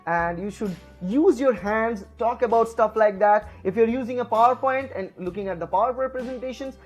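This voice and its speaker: Animated Indian man lecturing. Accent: Indian English. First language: Bengali. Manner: animated, pitch swinging up and down, many fillers and restarts. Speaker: educator. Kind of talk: lecture to camera. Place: room, alone with camera.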